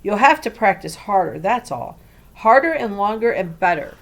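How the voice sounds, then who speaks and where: A woman's voice: mid-range voice, animated, moderate pace, a little hiss or hum, lightly acted. Woman reading as a bossy, nagging male relative. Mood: pushy.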